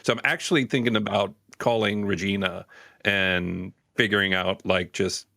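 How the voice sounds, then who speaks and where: Halting, emphatic man in a dry-sounding room. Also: fairly low pitch, fairly even intonation, vocal fry, sharp tone, no room sound. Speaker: tabletop game player. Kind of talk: casual improvised role-play chat.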